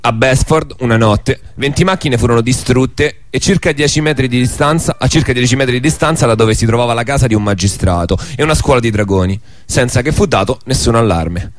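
A man's voice: mid-range voice, a little fast, hardly any pauses. A man hosting a radio programme, narrating a scripted historical documentary. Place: radio studio. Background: music.

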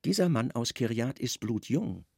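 An actor playing a guarded secret service chief in a radio play, calm, deferential and cunning. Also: male voice, mid-range voice, normal speed, gravelly, slightly rough, no echo, clean studio sound. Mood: discreet.

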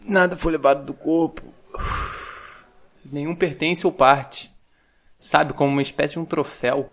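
An actor playing a dry, exasperated young forensic doctor in an audio drama, speaking precisely with a Brazilian accent. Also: male voice, fairly high pitch, steady tone, normal speed, lightly acted. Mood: frustrated, earnest.

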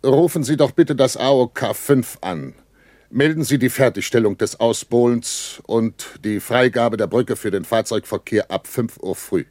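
A man's voice: medium pitch, moderate pace, darkish tone, no room sound, lightly acted. A man acting a sceptical army captain in a 1960s radio drama. He gives orders curtly and with dry, resigned irony, in a studio sound with very little room. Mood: urgent, commanding. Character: weary, sceptical army captain commanding a bridgehead.

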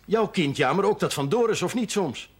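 An actor playing a fatherly, wry village parish priest in a television period drama, gently admonishing. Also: sits high, animated, normal speed, breathy edge. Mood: paternal, concerned. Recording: noisy old television drama soundtrack.

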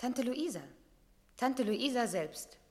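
An actress playing a questioning, quick-to-object stepdaughter in a 1960s German radio play, expressive and insistent. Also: female voice, fairly high pitch.

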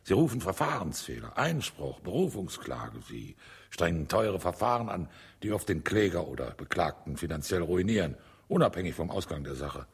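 Low, gravelly, husky schemer. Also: male voice, very animated, normal speed, full character voice. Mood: sardonic. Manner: sardonic, argumentative. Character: cunning, cynical acquitted defendant, smugly self-justifying. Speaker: actor in a radio drama. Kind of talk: radio drama acting.

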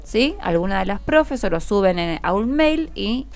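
A woman's voice: medium pitch, lively intonation, normal speed, darkish tone, faint music behind. A woman with a Rioplatense Argentine accent hosting a friendly radio interview in an encouraging, conversational way. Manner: encouraging, prompts with short questions and affirmations. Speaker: radio host interviewing schoolchildren. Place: radio studio.

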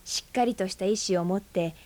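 An actress playing a frank, breezy aunt who teases and speaks bluntly in a radio drama. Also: female voice, medium pitch, brisk, darkish tone, natural voice. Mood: bantering. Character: blunt, teasing independent aunt.

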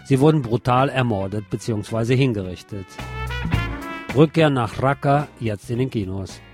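A male news presenter reads from a script for a local radio bulletin. His voice sits at an average pitch for a man. Instrumental guitar music plays quietly behind him. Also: moderate pace.

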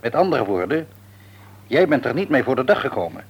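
A frank, reasoning older father in a radio drama. His middle-pitched voice is husky and moves expressively as he gently reproves his son, sometimes pausing to find his words. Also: sing-song. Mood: frank, reproving. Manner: reasoning, hesitant at times, frank.

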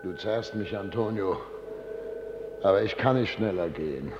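An actor playing a tired, ailing dignitary with a weary, complaining, halting manner in a German radio play. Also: male voice, fairly low pitch, animated, dull audio, background noise, lightly acted. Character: tired, ailing male dignitary, dragged along at night.

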